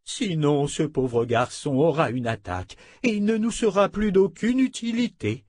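A man voicing a frail old woman, a bitter and sharp-tongued abbess, in a drained breathy voice for an audiobook. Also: on the high side, expressive, normal speed, dry recording, clean studio sound, voice pushed up. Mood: chiding. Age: older.

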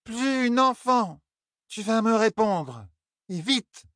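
A man's voice: animated, slow pace, fairly bright tone, dry recording, studio quality, full character voice. A man voicing a tipsy young royal heiress who slurs, sulks and flares up. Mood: drunk, petulant. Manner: high, slurred pleading and indignant outbursts. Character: drunk young royal heiress, petulant and tearful.

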